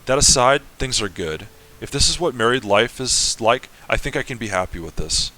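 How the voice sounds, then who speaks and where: A man's voice: mid-range voice, lively intonation, moderate pace, pushed and bright, poor audio. A man reading a letter from an earnest fifteen-year-old bride to her mother. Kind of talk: semi-dramatic reading of a game.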